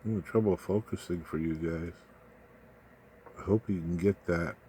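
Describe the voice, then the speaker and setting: A man with a low voice talks through technical material like a hobbyist at the workbench. His delivery is informal and folksy, full of slang, and it tends to ramble as he explains. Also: normal speed, warm tone, slight background noise. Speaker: electronics hobbyist presenter.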